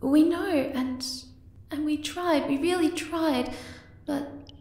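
A woman as a high-pitched, timid moth girl. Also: slow pace. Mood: hesitant, earnest. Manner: hesitant, timid, gentle. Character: shy, sweet, clumsy young moth girl. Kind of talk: ASMR roleplay acting. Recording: echoey, distant from the mic.

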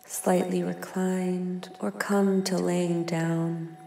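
A woman guides a meditation very slowly, in an even, lowish voice with a crackle of fry. She is soft and soothing, leaving long pauses. Her words hang in a long echo over singing bowls and music. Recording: long echoing reverb. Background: singing bowls and ambient music.

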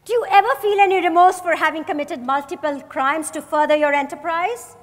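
A woman's voice: very high voice, brisk. A woman acting a probing, accusatory female detective in a theatrical dramatized stage reading. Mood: accusing, forceful. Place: large hotel ballroom stage. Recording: echoey hall, picked up from the audience.

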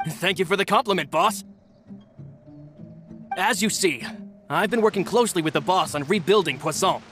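Game underling: high-pitched young man, polite, formal, eager to please, soft music behind. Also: moderate pace. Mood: grateful, earnest. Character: eager, respectful young male subordinate, earnest and formal. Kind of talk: video game character acting.

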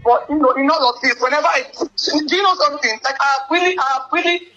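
A young woman in an emotional personal phone conversation, speaking haltingly and often repeating her words. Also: high-pitched, bright, forceful tone. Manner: halting, repetitive phrasing. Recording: band-limited leaked phone call with music. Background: music.

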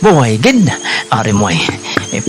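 A man's voice: medium pitch, huge pitch swings, normal speed, soft background music. A man with a Hiligaynon accent playing a drunken, bitter father in a melodramatic radio drama, badgering and repeating himself. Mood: bullying, impatient. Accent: Hiligaynon (Western Visayas).

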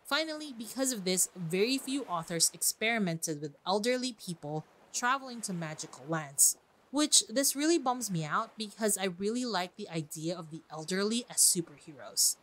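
Lively woman hosting a podcast. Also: mid-range voice, moderate pace, low-quality recording. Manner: conversational, informal. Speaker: podcast host. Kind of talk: scripted podcast narration with chatty asides.

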